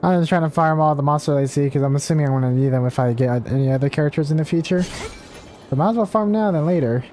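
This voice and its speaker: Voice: medium pitch, fast talker, dark tone, a little hiss or hum. A game streamer giving casual gameplay commentary, thinking aloud. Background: game music.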